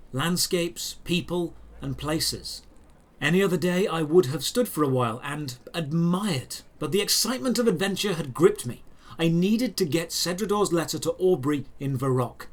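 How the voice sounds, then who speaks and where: Higher-voiced man narrating a fantasy adventure. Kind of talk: scripted first-person fantasy story narration.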